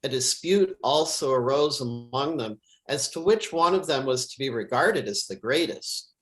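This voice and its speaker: A man reads scripture as a pastor would, in a solemn, measured and deliberate liturgical style. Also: mid-range voice, expressive, a bit bright.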